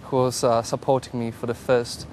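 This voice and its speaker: A man's voice: mid-range voice, flat delivery, moderate pace, darkish tone, slight background noise. A young man from Poland with a Polish accent, a psychology student giving hesitant interview answers and often repeating words.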